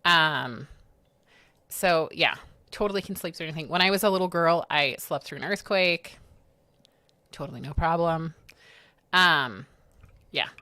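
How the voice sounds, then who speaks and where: A woman's voice: deep voice, a little slow, long pauses, bright, forceful tone, no echo. A woman chatting on a livestream, telling stories in a bubbly way with lots of fillers. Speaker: livestream co-host. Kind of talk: casual livestream chat.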